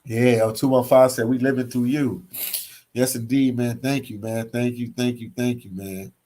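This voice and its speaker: A relaxed man with a Philadelphia accent talks casually to his livestream viewers from a hostel library. He reads out their comments and answers them, often repeating phrases and tacking "man" onto the end of his sentences. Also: mid-range voice, normal speed. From Philadelphia, US East Coast. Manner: laid-back, says "man" a lot, repeats phrases. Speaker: travel vlogger reading live chat.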